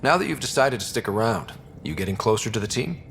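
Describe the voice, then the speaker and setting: A man's voice: fairly low pitch, big pitch swings, fast talker, vocal fry, fairly bright tone, a little hiss or hum, heavily acted. A man playing a young, easygoing space explorer and team leader in video game dialogue, speaking casually. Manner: casual, conversational.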